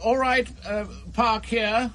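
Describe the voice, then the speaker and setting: High, bright Englishman. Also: flat delivery, slow pace, slight background noise, heavily acted. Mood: flustered, polite. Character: hesitant, polite English motorist. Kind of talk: radio drama acting.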